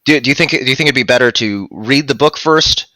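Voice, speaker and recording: A man's voice: medium pitch, fairly quick, sharp tone, dry recording. A man in his thirties chatting casually as he co-hosts a live stream, speaking into a headset mic. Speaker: audiobook narrator and producer co-hosting a live stream. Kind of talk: casual live-stream discussion, reading out viewer questions. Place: indoor room at home.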